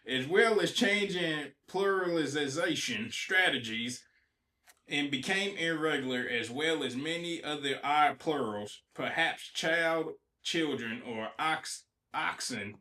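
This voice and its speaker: A man's voice: on the high side, slow pace. A man joking and teasing as a podcast co-host in casual chat. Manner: joking, teasing, loud bursts. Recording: echoey room.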